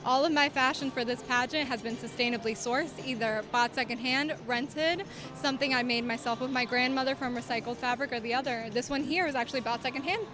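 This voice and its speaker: A woman's voice: high voice, fairly quick, few pauses. A young woman from Cuba with a slight Southern US accent, her first language Spanish, chatting upbeat and polite as a pageant contestant. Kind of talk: casual interview answers. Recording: music playing behind.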